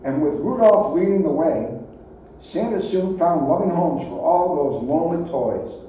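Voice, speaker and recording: A man reading a children's story aloud in an expressive style. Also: a little high, a little slow. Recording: echoey, distant, muffled, faint noise.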